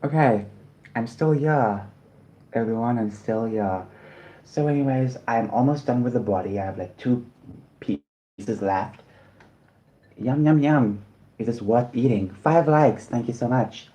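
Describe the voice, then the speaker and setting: A man from South Africa talks casually through a livestream, rambling from one thought to the next and apologizing often. His voice is dark and mellow, and his pitch sits in a middling range. He speaks from a room at home. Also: a little slow, pauses often, low-quality recording. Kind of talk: casual livestream chat while eating.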